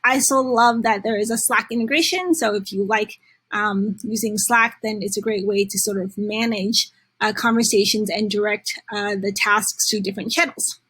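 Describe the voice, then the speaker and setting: A woman presenting a step-by-step software tutorial in a conversational way, with halting phrasing and frequent pauses. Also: medium pitch, fairly even intonation, husky.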